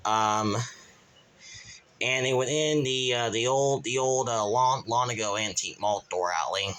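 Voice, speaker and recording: Bright-voiced man rambling through a home vlog. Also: mid-range voice, measured pace, poor audio. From Kansas, USA. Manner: rambling, with many restarts and a repeated verbal tic. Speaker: channel owner, weather radio hobbyist. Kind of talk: casual show-and-tell vlog. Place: his bedroom at home.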